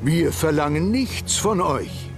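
An actor playing a formal, ceremonious older count in a video game cutscene. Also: male voice, a little high, animated, slow pace, creaky, over music, heavily acted. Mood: grave, courteous. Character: stately, formal older count, guardian of a relic.

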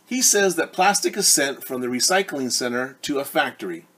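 Male preschool teacher for read-aloud, forties, mid-pitched and a little husky, animated, engages kids directly. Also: normal speed. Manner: animated, addresses children directly with questions and repeats key words. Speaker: children's teacher reading a storybook. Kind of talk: story time read-aloud for young children. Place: living room at home, indoors.

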